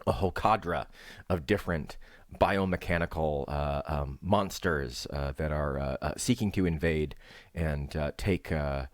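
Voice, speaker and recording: A man giving casual, rambling game commentary as a streamer, halting and hesitant, with dry, wry remarks. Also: a bass voice. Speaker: speedrunner and streamer, host. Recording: roomy echo, faint game music behind.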